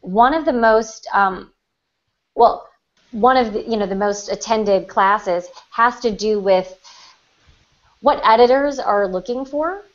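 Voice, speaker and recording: A woman's voice: a little high, takes it slowly. Woman explaining casually, muffled audio. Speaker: writer, writing teacher and magazine editor. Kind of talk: casual chat.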